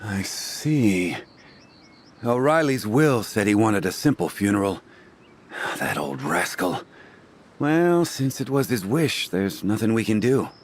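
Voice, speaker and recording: A man playing a resigned, kindly older townsman in an anime game, with a husky voice. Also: on the low side, sing-song, takes it slowly, heavily acted.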